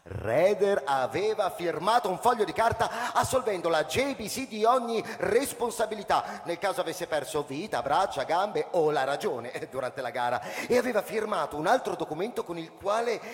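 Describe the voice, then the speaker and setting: A man narrating a story dramatically and theatrically, moving between hushed and intense delivery, in a reverberant hall. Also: on the high side, lively intonation, normal speed. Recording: reverberant hall with long echo.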